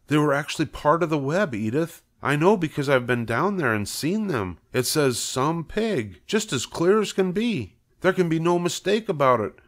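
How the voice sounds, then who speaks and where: A man voicing an earnest, solemn farmer in a storybook, awestruck and emphatic. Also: fairly low pitch.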